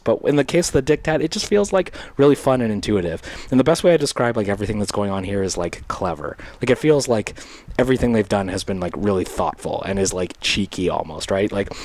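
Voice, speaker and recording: A man's voice: deep voice, darkish tone. A young man on a headset mic in a small home studio, talking casually and rambling with lots of fillers while demoing gear, his voice still recovering from a sinus infection. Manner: rambling, filler-heavy, enthusiastic. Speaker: synth module reviewer. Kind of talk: casual demo talk. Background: electronic music from modules.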